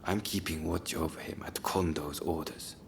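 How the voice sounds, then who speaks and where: A man's voice: extremely low pitch, steady tone, normal speed, gravelly, noisy background. A man playing a stern, watchful samurai captain in a game, terse and controlled in his speech. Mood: quiet, serious. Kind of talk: English game voice acting, cutscene dialogue.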